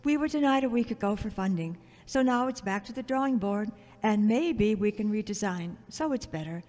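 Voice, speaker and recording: A woman playing a fond, gently teasing older mother in an audio drama. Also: medium pitch, lively intonation, moderate pace, close to an everyday voice. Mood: earnest. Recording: long echoey reverb.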